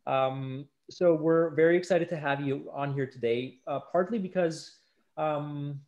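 Higher-pitched man hosting a podcast. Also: unhurried, a little mellow, highs cut off. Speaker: podcast host. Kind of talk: conversational podcast introduction. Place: indoor room at home, video call.

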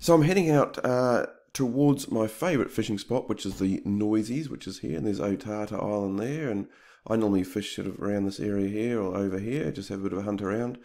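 A man's voice: fairly low pitch, brisk, hardly any pauses, noisy background. A man in his fifties with a New Zealand accent, explaining things informally and unhurriedly in a casual fishing vlog. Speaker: fishing vlogger.

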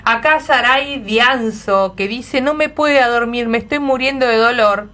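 An Argentine woman hosts a chatty radio interview. Her voice is fairly high and very bright, and she talks effusively, gushing as she goes. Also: a little slow. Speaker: radio host. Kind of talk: lively unscripted radio chat.

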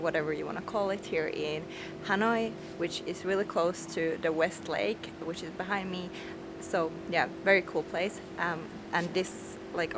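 A young woman from Finland chatting casually in a travel vlog, bubbly and full of "like" fillers, talking to a camera held at arm's length outdoors. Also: fairly low pitch. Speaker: travel vlogger. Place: rooftop terrace outdoors, later busy street. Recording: outdoor traffic noise behind. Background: traffic and street ambience.

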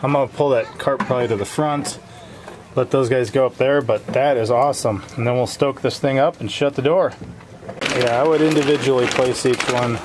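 A man's voice: mid-range voice, steady tone, brisk. A man in his forties vlogging on his farm beside a smokehouse, talking chattily to his viewers. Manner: upbeat, chatty, addresses viewers as guys. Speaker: homesteader vlogger. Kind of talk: casual vlog narration to viewers. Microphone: handheld camera close to face. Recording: loud outdoor noise.

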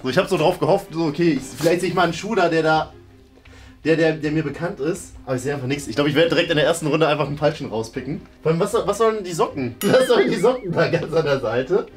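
A man's voice: a little high, fast talker, faint music behind. A man in his thirties with a German accent, casually hosting and commenting on a guessing game in a room. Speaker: host and contestant of a guessing game show. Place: medium-sized indoor room.

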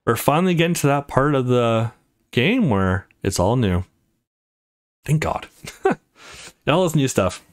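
A man's voice: medium pitch, animated, a little slow, long pauses. A man giving casual, slangy let's play commentary with some swearing. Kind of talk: casual gaming commentary, reading dialogue aloud.

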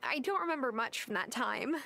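A woman's voice: fairly high pitch, lively intonation, fairly quick, gravelly, pushed and bright, exaggerated voice. An actor playing a friendly, earnest young woman in a cozy video game, polite and eager to help. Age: young adult.

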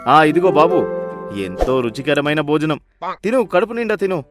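A man's voice: a little high, sing-song, normal speed, loud music behind the voice. A man playing a miserly, sly rich husband in a story, with a bossy, scolding, sarcastic manner. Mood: falsely kind, sly.

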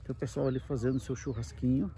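Male vlogger, creaky relaxed voice, conversational tour-guide delivery, light outdoor noise. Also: medium pitch, fast talker. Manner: explanatory, conversational with frequent check-in tags. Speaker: camping travel vlogger. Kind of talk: casual walking tour narration. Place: outdoors at a wooded campground. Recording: noisy outdoor handheld recording.

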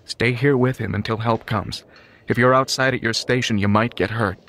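A man's voice: mid-range voice, fairly even intonation, moderate pace, fairly bright tone, a little hiss or hum, natural voice. A man voicing an anxious yet resolute young son of a Mafia don, in an audiobook drama. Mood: urgent.

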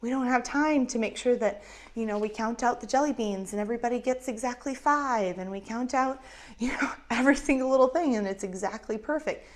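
Animated woman teaching kids a Bible story. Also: on the high side, a little fast. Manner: animated, acts out voices of characters. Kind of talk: storytelling Bible lesson for children. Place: indoors in front of a map backdrop. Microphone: lapel mic.

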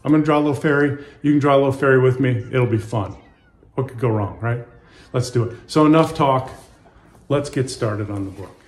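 A man's voice: mid-range voice, brisk. A man in his fifties speaking casually and simply to children as an author and illustrator, reading aloud and guiding drawing. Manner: casual, simple wording, encouraging asides to young viewers. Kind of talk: children's picture-book read-aloud and drawing tutorial.

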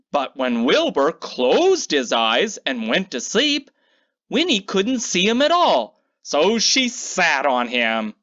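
Slow, animated woman reading a storybook. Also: sits low, lo-fi sound. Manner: theatrical, drawn-out emphasis on key words. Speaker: children's storybook reader. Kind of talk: read-aloud picture book narration.

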